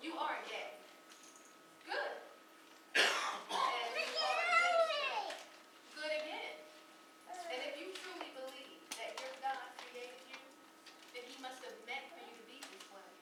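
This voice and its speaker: Frank, caring female hospital worker woman acting in a stage play, preachy and animated, in a distant, very noisy hall recording. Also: sits high, measured pace, pauses often. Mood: passionate, encouraging. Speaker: stage actor.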